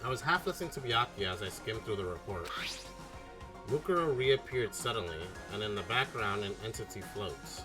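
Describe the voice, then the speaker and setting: Man acting anxious schoolboy hero, low-pitched, questioning, earnest, game narration, music behind. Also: animated, moderate pace, natural voice. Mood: pensive. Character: earnest, anxious ordinary teenage boy, narrator-protagonist. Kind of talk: amateur game dialogue and narration read aloud.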